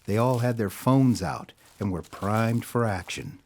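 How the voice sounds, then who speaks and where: A man narrating a scripted audio drama as a measured, literary storyteller. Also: on the low side, animated, a little mellow.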